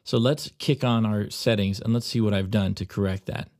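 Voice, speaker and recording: A man presenting an audio software tutorial, narrating instructional steps as he demonstrates on screen. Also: on the low side, fairly even intonation, normal speed, no echo, studio quality.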